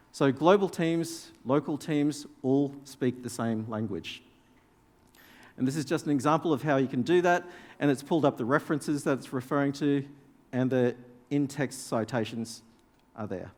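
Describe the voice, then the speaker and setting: A man whose first language is Danish walks a conference audience through a live software demo, speaking casually and turning to the room with questions. His voice sits at an ordinary middle pitch and carries the long reverb of a big hall. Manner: casual, explanatory, addresses audience directly. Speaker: conference presenter.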